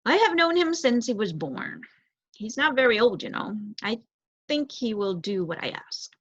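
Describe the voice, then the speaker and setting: Lady doing a kindly tired old man mouse from a storybook, measured, husky, muffled. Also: a little high, sing-song. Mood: assured. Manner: measured, cordial, reassuring. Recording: roomy echo, dull muffled sound.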